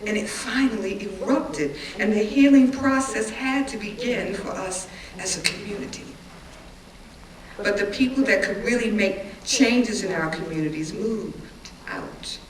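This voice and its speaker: A woman performing a dramatic stage reading as a middle-aged witness recalling hard times, pained and resolute, with emphatic, deliberate storytelling. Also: medium pitch, lightly acted. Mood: pained, intense, then frustrated, grieving. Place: live reading venue, indoors. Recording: roomy, distant live-event recording with audience noise.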